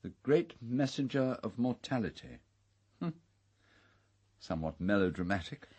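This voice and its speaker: Fastidious English country-house host: low, wry, formal, with very lively intonation. British radio drama. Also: male voice, breathy edge. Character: urbane, fastidious gentleman host of an island house.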